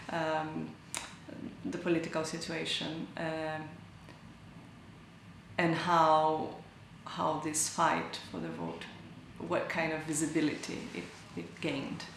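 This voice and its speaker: A woman artist from Brazil talks through her work, pausing to find the right words and correcting herself as she goes. Her voice is low with a gravelly edge. It echoes through a large hall. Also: noisy background. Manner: thoughtful, searching for words, self-correcting. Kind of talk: interview about her artwork. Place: large gallery hall indoors.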